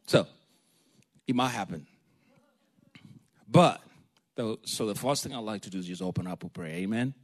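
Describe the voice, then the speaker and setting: A man from Africa, a preacher giving a sermon in a lively, joking, storytelling way and calling for amens. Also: on the low side, moderate pace, pauses often.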